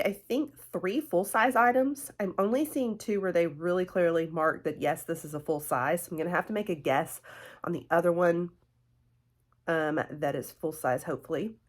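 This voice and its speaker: A woman in her thirties with a lilt, her nose stuffy from a cold. She chats warmly with viewers, trailing into fillers and little laughs. Her voice sits a bit lower than most women's. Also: moderate pace. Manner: friendly, chatty, fillers and laughs, addresses viewers as guys and y'all. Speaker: beauty vlogger. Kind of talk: casual chatty product review.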